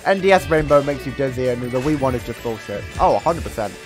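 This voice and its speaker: A man in his twenties giving excitable, sweary casual commentary while gaming with friends, on a close microphone. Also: mid-range voice, fast talker. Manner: excitable, exclamatory, swears freely. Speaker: game streamer. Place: indoor room streaming setup. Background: video game music.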